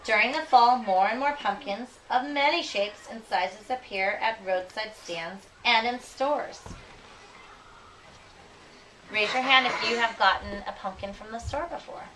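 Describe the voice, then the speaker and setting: A woman teaching young children, reading aloud expressively and deliberately and checking their understanding with questions. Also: on the high side, pushed and bright. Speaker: elementary school teacher. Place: school classroom. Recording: noisy classroom, distant microphone.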